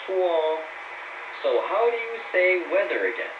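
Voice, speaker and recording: A man's voice: sits high, very animated, a little slow. A man co-hosting a scripted language lesson on the radio, heard over shortwave. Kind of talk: scripted banter and repeat-after-me lesson. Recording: muffled echoey noisy shortwave radio.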